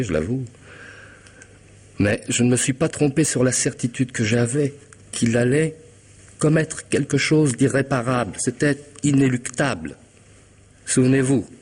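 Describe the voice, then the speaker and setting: A man's voice: fairly low pitch, close to an everyday voice. An actor playing a cunning, mocking hitman in a radio mystery, with theatrical irony and precise, formal diction. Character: cunning middle-aged hitman, smug, mocking, methodical blackmailer. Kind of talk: French radio mystery drama acting.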